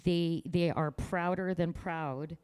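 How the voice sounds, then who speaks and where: A woman's voice: fairly low pitch, steady tone, slow pace, a little mellow, smooth. A woman artist from rural Manitoba talking casually about her work in an interview, outspoken and proud, with frequent restarts. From rural Manitoba, Canada.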